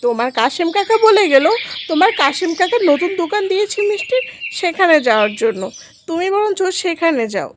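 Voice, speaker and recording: A woman's voice: extremely high pitch, very animated, a little fast, noisy background. A woman playing a cruel, scheming stepmother in a cartoon, commanding and wheedling. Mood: coaxing. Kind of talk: cartoon story voice acting.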